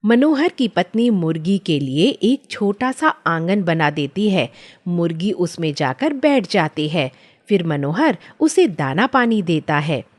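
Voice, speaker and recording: A woman's voice: on the low side, big pitch swings, takes it slowly, a little mellow. A woman reading scripted children's story narration.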